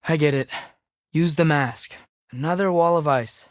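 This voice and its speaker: A man's voice: fairly high pitch, normal speed, no echo, clean studio sound, lightly acted. A young male actor playing an earnest, eager teenage boy adventurer in a video game, speaking conversationally with small hesitations. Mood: earnest, casual. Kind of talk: video game character acting.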